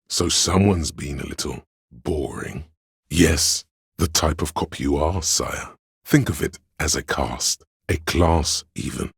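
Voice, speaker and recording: A sly, flamboyant courtier of a video game, an inner voice devoted to drama. The voice is extremely deep and very husky, with gravel in it. He addresses his lord in slow, arch, theatrical phrases. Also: no room sound, studio quality, exaggerated voice. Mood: dryly teasing. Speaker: game voice actor.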